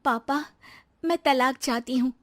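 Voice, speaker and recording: A woman's voice: high voice, measured pace, voice pushed up. A woman voicing an earnest, wronged young daughter-in-law in a cartoon moral story, pleading yet determined.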